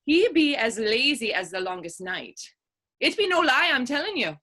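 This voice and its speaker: A woman plays a quick-witted Irish lass in a stage play. Her voice is high and sharp, with lively swoops. She is saucy and challenging, trading folksy retorts. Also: exaggerated voice. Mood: indignant. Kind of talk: stage readers theater dialogue.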